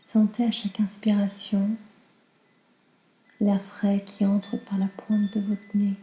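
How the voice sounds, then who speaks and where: A woman guiding a slow relaxation meditation in a calm, soothing, soft and breathy voice that often nears a whisper. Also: medium pitch, reverberant, poor audio. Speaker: yoga nidra guide.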